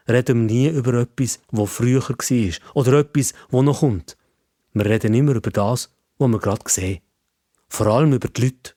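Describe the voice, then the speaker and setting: A man's voice: medium pitch, mellow, no echo, clean studio sound. A man with a Swiss German accent narrating a scripted story for children.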